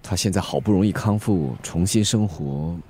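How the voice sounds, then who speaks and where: A man's voice: low voice, moderate pace, mellow, a little hiss or hum, lightly acted. An actor playing a polite, remorseful young man from a rich family in a Mandarin radio drama. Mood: subdued, hesitant.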